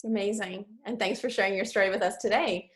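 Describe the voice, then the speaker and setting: A woman's voice: medium pitch, fairly even intonation, quick pace. Upbeat woman hosting an interview. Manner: upbeat and encouraging. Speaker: host. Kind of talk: conversational interview.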